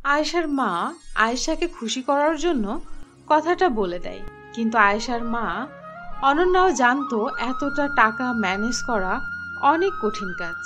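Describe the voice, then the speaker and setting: High-voiced woman narrating a fairy tale. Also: expressive, measured pace, music in the background. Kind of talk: scripted storybook narration.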